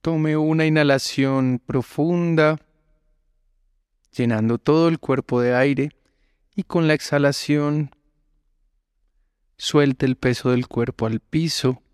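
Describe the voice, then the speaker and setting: A man guiding a relaxation meditation as a yoga instructor, giving calm, measured instructions with long pauses between phrases. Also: a little high, clean tone, dry recording, studio quality. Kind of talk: slow guided relaxation meditation.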